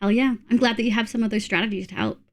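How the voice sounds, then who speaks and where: A young woman with a slightly high voice talks casually with her viewers, thinking aloud with little fillers. She sounds a bit congested from a cold. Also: fairly even intonation, very fast. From Australia. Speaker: streamer. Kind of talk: casual chat reading viewer messages.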